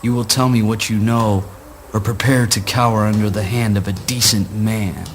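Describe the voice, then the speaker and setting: A man's voice: fairly low pitch, fairly even intonation, moderate pace, slight background noise, lightly acted. An actor playing a stern, righteous father in a spoken word play, speaking in a pompous, archaic, declamatory way. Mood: stern, threatening.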